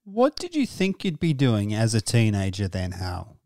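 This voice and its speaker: A man hosting a radio interview, asking questions in a measured, curious way. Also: lowish voice, wildly swooping pitch, a little mellow, no room sound, clean studio sound.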